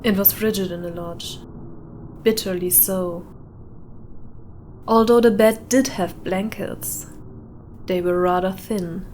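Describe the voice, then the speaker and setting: A woman narrates an atmospheric short story at a slow, measured pace. She leaves dramatic pauses between phrases. Her voice has a middle pitch and sits over clearly audible howling wind ambience. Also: steady tone, a little mellow. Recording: windy howling ambience behind voice. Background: added wind or howling ambience.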